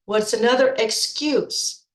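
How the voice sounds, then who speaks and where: A woman's voice: a little high, takes it slowly, reverberant. A middle-aged woman nutrition coach with a head cold, talking informally to her program members and often repeating words as she restarts. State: stuffed up with a cold.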